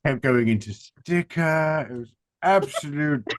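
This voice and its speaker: A man chatting casually as a drama director, halting in his phrasing and dryly jokey. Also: fairly high pitch, expressive, no echo, clean studio sound. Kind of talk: casual chat.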